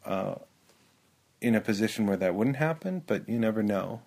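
Low gravelly-voiced male host. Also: lively intonation, normal speed, a little mellow. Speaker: podcast host. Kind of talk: interview conversation.

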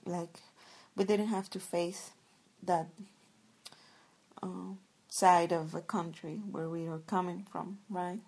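A woman's voice: lowish voice, fairly even intonation, slow pace, a little mellow, rough recording. A woman from El Salvador, a guest speaking reflectively and searching thoughtfully for her words. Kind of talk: reflective conversation.

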